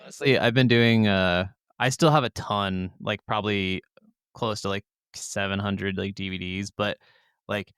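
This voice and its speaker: A man's voice: on the low side, fairly even intonation, measured pace, a bit bright, clear, resonant tone, dry recording, clean studio sound. A man co-hosting a podcast, chatting casually and informally about video games. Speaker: podcast co-host.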